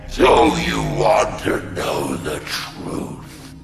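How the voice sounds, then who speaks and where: Very deep, gravelly, ominous storyteller. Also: male voice, lively intonation, drawn-out words, exaggerated voice. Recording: echoing game audio with music.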